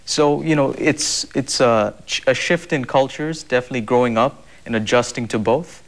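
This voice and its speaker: A man's voice: mid-range voice, normal speed. A young man from Zambia, answering interview questions earnestly, with many fillers and repeated words. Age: young adult. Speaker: guest.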